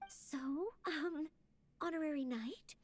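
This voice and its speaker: A shy, eager young maid-knight from a video game. Her voice is high and polite, with a slightly hesitant delivery. A faint ambient hum sits behind her. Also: slow. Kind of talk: game voice acting.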